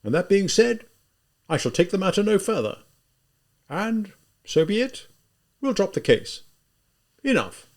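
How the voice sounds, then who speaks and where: A man reading an audiobook, voicing a pompous middle-aged gentleman who speaks in an imposing, condescending way. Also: high voice, sing-song, unhurried, long pauses, voice pushed up, exaggerated voice.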